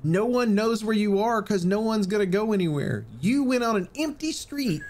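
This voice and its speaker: A man's voice: high-pitched, expressive, moderate pace, a little mellow, slight background noise. A man co-hosting a podcast in casual, jokey banter, often sarcastically acting out imagined dialogue. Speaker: podcast co-host.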